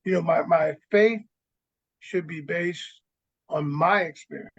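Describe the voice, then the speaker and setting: A man, a guest on a radio show, speaking thoughtfully and haltingly with many restarts. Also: on the high side, breathy edge. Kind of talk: reflective conversation. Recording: dull lo-fi line.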